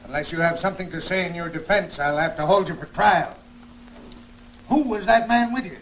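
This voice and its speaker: Stern high-voiced judge. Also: male voice, brisk, a bit bright. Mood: stern, probing. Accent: American. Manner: formal, authoritative questioning. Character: stern male judge questioning a prisoner. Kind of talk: 1940s film serial acting. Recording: noisy old 1940s film soundtrack.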